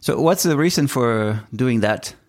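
A man hosting an interview show, speaking in a measured way and giving a motivational introduction. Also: medium pitch, expressive. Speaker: podcast host.

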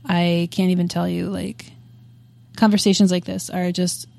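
Frank, low-voiced woman guest. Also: flat delivery, normal speed, gravelly, a little mellow. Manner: frank and profane, with frequent fillers. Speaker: podcast guest. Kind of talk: candid casual interview chat.

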